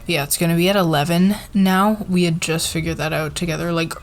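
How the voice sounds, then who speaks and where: A woman playing a friendly, encouraging boss in an ASMR roleplay, talking to a team in a halting, soft-spoken office manner. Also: fairly low pitch, steady tone, lightly acted. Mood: appreciative, encouraging.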